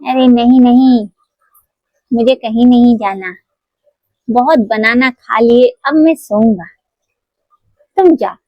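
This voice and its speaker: Woman putting on a lazy, slow gorilla. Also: on the high side, dry recording, lo-fi sound. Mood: lazy, protesting. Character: lazy, overfed male gorilla, reluctant to move. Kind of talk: children's toy cartoon storytelling.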